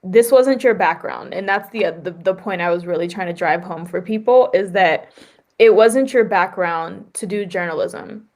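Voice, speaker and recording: This woman hosts a casual interview podcast. She talks in a lively, chatty way and scatters "like" and "right?" through her sentences. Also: mid-range voice, moderate pace. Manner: animated and conversational, often adds "like" and "right?". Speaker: podcast host.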